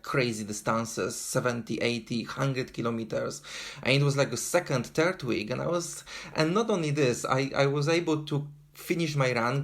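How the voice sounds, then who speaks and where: Polish-accented man speaking earnestly. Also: medium pitch. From Poland. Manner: earnest, many fillers and restarts. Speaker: guest, endurance runner. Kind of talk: casual interview talk.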